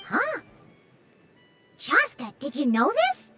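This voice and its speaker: A woman voicing a small, excitable, curious childlike companion in a video game, with a squeaky, exclamatory delivery. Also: slow, faint music behind, heavily acted. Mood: surprised, curious. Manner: exclamatory, expressive.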